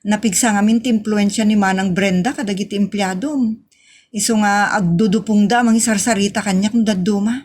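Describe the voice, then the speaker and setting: A woman's voice: mid-range voice, steady tone, fairly quick, darkish tone, natural voice. A woman acting a proud but anxious young woman in love in a radio drama. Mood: troubled.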